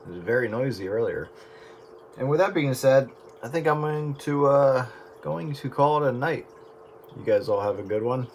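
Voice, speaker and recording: A man with a medium-pitched voice chats casually over two-way radio. A faint layer of static sits under his easygoing hobbyist talk. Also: expressive, moderate pace. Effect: two-way radio transmission. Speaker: radio hobbyist who records the sessions.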